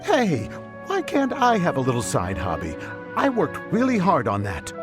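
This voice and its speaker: A man's voice: a little high, huge pitch swings, moderate pace. A man voicing a proud, touchy adult male porter in a video game, over game music. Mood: defensive. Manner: defensive, friendly.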